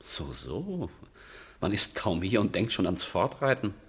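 A pedantic envoy in a period radio drama. The voice is deep and husky, swinging widely in pitch. He sounds pompous, fussy and condescending. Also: sing-song, unhurried, exaggerated voice. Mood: fussy, stern. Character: pedantic, pompous male envoy, stiff lover of order.

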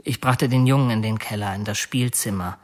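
An actor playing a brooding male killer who confesses matter-of-factly and haltingly, as in a radio drama. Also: on the low side, close to an everyday voice. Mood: matter-of-fact, reflective. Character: confessing male killer, bitter, brooding, oddly soft-hearted. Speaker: actor narrating as a murderer.

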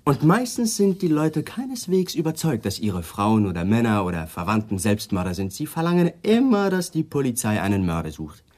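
A man's voice: mid-range voice, very animated, moderate pace, hardly any pauses, darkish tone. A man acting in a 1980s German radio crime play as a persistent police detective, coolly polite and suspicious, putting pointed questions. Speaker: actor in a radio crime drama.

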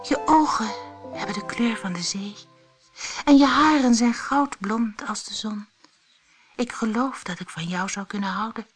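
Storybook princess, woman reader: young, innocent, gentle, tender, earnest, higher voice. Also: measured pace, faint music behind, lightly acted.

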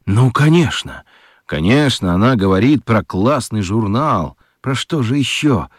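A man narrating a children's radio story in an expressive, scripted storytelling style. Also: medium pitch, measured pace, no room sound, clean studio sound.

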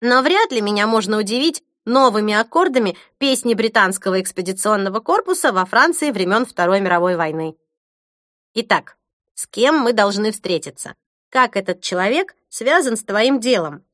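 A woman's voice: medium pitch, animated, normal speed, fairly bright tone, no room sound, studio quality, lightly acted. A woman voicing a blunt, joking young woman who works in a care home, in a storybook reading. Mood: wry. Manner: teasing, blunt.

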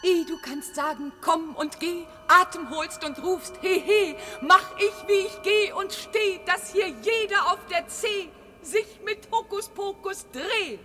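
A woman's voice: very high-pitched, slow pace, pushed and bright, heavily acted. A woman playing a nimble, eager spirit servant in a classical radio play, with sing-song rhymes and music behind her in a reverberant space. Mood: playful, boastful.

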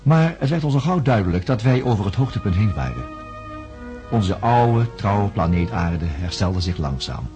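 An actor playing a reflective man who studies the human mind, narrating a radio play in a solemn, contemplative way with dramatic pauses. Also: on the low side, very animated, over music. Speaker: narrator recounting events.

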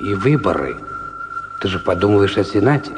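An actor playing a cynical, familiar clown confidant in a radio drama, probing with blunt questions. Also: male voice, fairly low pitch, sing-song, fairly quick. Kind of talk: Soviet radio drama acting. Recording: noisy, echoing backstage hall sound.

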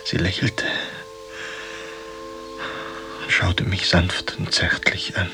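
An actor playing a melancholy, middle-aged Romantic writer who confides intensely in an old friend, in a radio drama monologue. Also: male voice, sits low, level tone, a little slow, creaky, over music. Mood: tender wonder.

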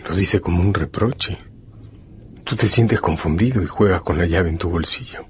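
A man narrating a scripted radio drama in a measured, solemn storytelling manner. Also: deep voice, a little hiss or hum.

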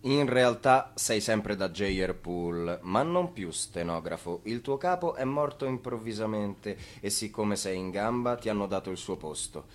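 An actor playing a skeptical narrator in a radio drama, addressing characters in an insinuating, questioning way. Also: male voice, fairly low pitch, animated, moderate pace, few pauses, lightly acted. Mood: informative.

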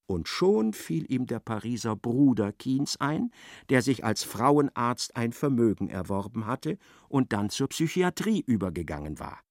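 An actor narrating a literary radio play, an expressive and ironic storyteller. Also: male voice, mid-range voice, a little slow, no room sound, studio quality. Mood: wry narration. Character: wry literary narrator of a novel, male.

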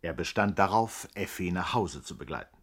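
Deadpan crime chronicler, lowish pitch, matter-of-fact scripted radio narration. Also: male voice, normal speed, breathy edge. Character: sober male storyteller of a mock crime chronicle.

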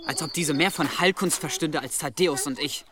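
A man's voice: on the high side, brisk, a crowd in the background, close to an everyday voice. Indignant young monk, played by a man. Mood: sulky. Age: young adult. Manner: indignant, petulant. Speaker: actor in a radio play. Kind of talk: German radio drama acting.

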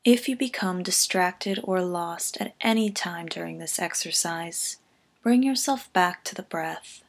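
A woman reading a scripted guided body scan meditation in a calm, gentle, measured way, softening at the ends of phrases. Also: lowish voice, gravelly.

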